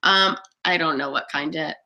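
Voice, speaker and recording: A woman's voice: low voice, normal speed, sharp tone. A woman in her mid-twenties co-hosting a casual live Q&A, reading out viewer questions in a chatty, teasing way. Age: 20s.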